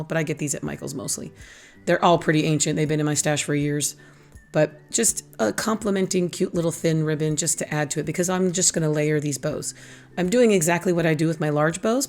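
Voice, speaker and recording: A middle-aged woman with a low, raspy voice, hoarse from a lingering illness, talks through a craft tutorial. She is chatty and pokes fun at herself as she goes. Soft music plays faintly behind her. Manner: chatty, self-teasing, laughs at her own jokes. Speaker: craft channel host. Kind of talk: casual craft tutorial voice-over.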